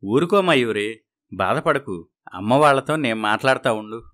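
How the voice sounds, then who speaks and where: A man's voice: medium pitch, lively intonation, normal speed, a bit bright, natural voice. A man playing an upset, protective young husband in a moral story. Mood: consoling. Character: upset young husband defending his wife.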